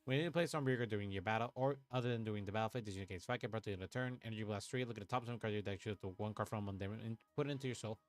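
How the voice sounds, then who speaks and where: A man's voice: fairly low pitch, racing, hardly any pauses, soft background music. A young man from Puerto Rico, chatting casually on a livestream while he plays a card game, thinking aloud, swearing and muttering to himself. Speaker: streamer playing an online card game. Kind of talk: casual livestream chat and play commentary.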